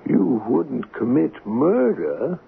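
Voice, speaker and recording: A man acting in a radio drama as a venerable art authority in his seventies, grave and exacting, with a gravelly voice and deliberate, dramatic phrasing. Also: medium pitch, wildly swooping pitch, heavily acted. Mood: alarmed, reproachful. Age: 70s. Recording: tinny, faintly noisy 1970s radio recording.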